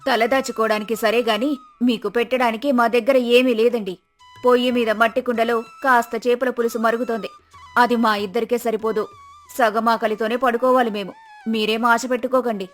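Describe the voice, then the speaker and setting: A woman's voice: on the high side, flat delivery, normal speed, soft background music, lightly acted. A woman playing a fearful, suspicious village fisherman's wife with a fretful, scolding way of talking in a moral story. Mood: grudging, suspicious. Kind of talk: acted moral story dialogue.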